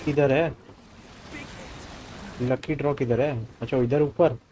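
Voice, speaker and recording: A man's voice: mid-range voice, steady tone, rapid-fire, background noise. A man giving casual live commentary as a game streamer. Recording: game music and sound behind.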